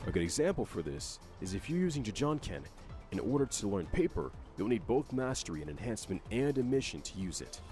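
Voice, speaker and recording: A man's voice: fairly low pitch, very animated, fairly quick, a little mellow. A man delivering scripted explainer narration for a video game update. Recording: faint music under narration. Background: faint music.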